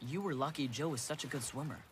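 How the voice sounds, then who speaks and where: A performer voicing a sensible, firm but caring teenage older brother in an animated cartoon. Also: mid-range voice, quick pace, background noise, lightly acted. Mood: stern.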